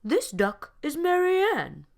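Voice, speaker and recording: Woman as a gentle lion poet, storybook character, earnest, thoughtful, raised pitch, slow measured delivery. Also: wildly swooping pitch, creaky, exaggerated voice. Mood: firm.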